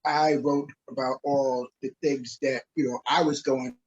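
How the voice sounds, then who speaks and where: A man from Queens with a husky voice at a middling pitch. He gives casual interview answers as a podcast guest. The sound is a little dull, like a lo-fi call. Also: little pitch movement, a little slow, a little mellow. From Queens, New York, USA. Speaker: podcast guest, minister, author and coach. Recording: slightly dull, lo-fi call audio.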